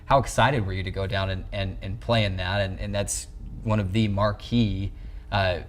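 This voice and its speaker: A man's voice: sits low, steady tone, moderate pace, slight background noise. A young American man in his twenties hosting a sports interview, conversational and wordy as he builds up to questions. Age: 20s. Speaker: sports show host, interviewer. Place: small indoor locker-room set. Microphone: lapel mic.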